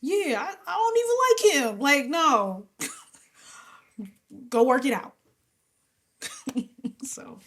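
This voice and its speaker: A woman's voice: sits high, lively intonation, very slow, long pauses, a bit bright. A young adult woman singer, a guest in an interview, talking casually and with animation, laughing often and sometimes dropping to a whisper.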